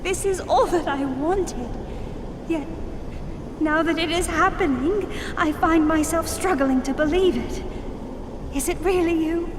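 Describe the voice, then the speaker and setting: Earnest young noble girl, fantasy game, really high voice, heartfelt, lots of echo. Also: unhurried, long pauses. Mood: moved, disbelieving. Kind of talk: emotional fantasy game acting. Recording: echoey hall, faint noise.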